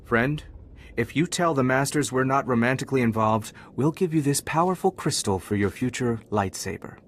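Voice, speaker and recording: An actor playing a lovestruck, defiant young Jedi student in a video game, earnest and declamatory. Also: male voice, medium pitch, lively intonation, normal speed, close to an everyday voice. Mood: earnest pleading. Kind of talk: scripted video game acting.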